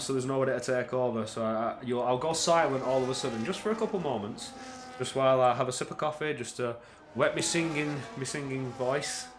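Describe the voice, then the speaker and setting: Energetic man calling a race, echoey room. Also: background noise. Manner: energetic play-by-play that climbs in pitch at tense moments; chatty asides during quiet spells. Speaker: race commentator. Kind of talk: solo live-stream sim racing commentary.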